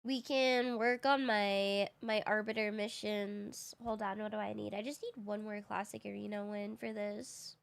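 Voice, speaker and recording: Slightly raspy, unhurried woman streamer. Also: mid-range voice, fairly even intonation. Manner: chatty and easygoing, laughs often, thinks aloud. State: slightly raspy, just woke up. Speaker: game streamer. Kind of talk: casual live-stream chat, answering viewers.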